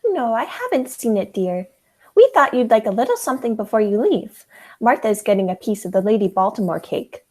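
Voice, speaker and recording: A teenage woman playing a sweet, unflappable elderly aunt, genteel and gently scolding, in a high school radio play. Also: expressive, brisk. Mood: sweet, fussing.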